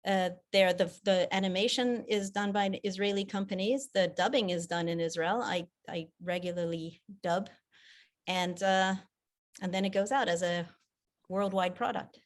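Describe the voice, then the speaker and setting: A woman's voice: mid-range voice, fairly even intonation, moderate pace. A woman whose first language is French, speaking English with an American-sounding accent, chatting in an interview about her voice-acting work with frequent restarts. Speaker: guest, voice actor and theater producer. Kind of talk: conversational interview.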